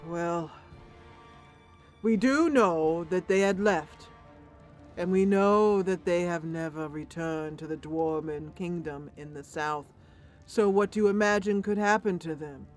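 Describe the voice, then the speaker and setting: A gloomy old dwarf cleric, played by a woman, speaks with slow, heavy resignation. He is a fatalist from a fantasy roleplay, ponderous in every phrase, with soft music behind him. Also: fairly low pitch, natural voice. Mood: mournful.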